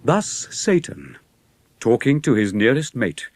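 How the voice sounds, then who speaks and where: An actor playing a solemn poet, narrating epic verse in a measured, declamatory way. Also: male voice, mid-range voice, sing-song, vocal fry, a little mellow, no room sound, full character voice. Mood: solemn, awed.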